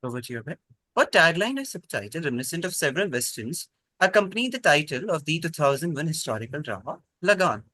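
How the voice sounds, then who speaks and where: A man's voice: a little high, very animated, fast talker, sharp tone, no room sound. A man hosting a quiz, reading out prepared questions to contestants.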